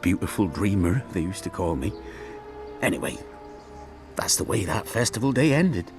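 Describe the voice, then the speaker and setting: An actor playing an awkward, guarded older man with a halting, stammering delivery in a naturalistic radio drama. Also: low-pitched, big pitch swings, over music. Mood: wistful, evasive.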